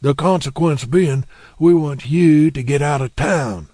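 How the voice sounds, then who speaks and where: A man's voice: fairly high pitch, measured pace, warm tone, no echo, studio quality, voice pushed up. A man voicing a menacing, blunt middle-aged townsman with a bat in an audiobook.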